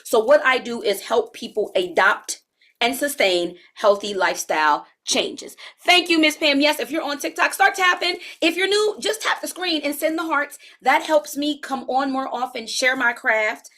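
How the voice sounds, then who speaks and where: Woman in her forties, kinda high voice, chatting to her livestream viewers, relaxed and slangy. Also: normal speed. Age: 40s. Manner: chatty, talks straight to viewers in chat. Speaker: health coach. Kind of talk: live-streamed coaching talk.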